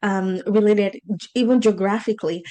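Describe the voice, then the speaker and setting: A woman's voice: medium pitch, fairly even intonation, moderate pace, dark tone. A woman with a Nigerian accent, hosting a conversational interview in an earnest way, repeating words for emphasis. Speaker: podcast host and business coach.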